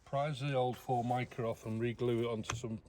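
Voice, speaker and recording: A man's voice: medium pitch, steady tone, brisk, warm tone. A man with an English accent explaining things casually in an informal, matter-of-fact and slightly hesitant way. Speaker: restorer explaining an old machine. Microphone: camera held by another person a short way off. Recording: background noise, handheld camera sound.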